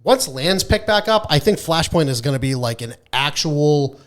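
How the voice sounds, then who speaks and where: A man's voice: fairly high pitch, animated, normal speed, fairly bright tone. A man co-hosting a casual gaming podcast, talking informally and emphatically with plenty of swearing. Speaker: podcast co-host.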